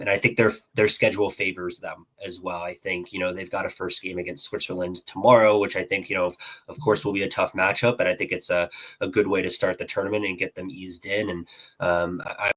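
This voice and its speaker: A man's voice: sits low, fairly bright tone, rough recording. A man talking as a guest analyst on a hockey podcast, hesitant, with many ums and restarts. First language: not Czech. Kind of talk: podcast interview chat.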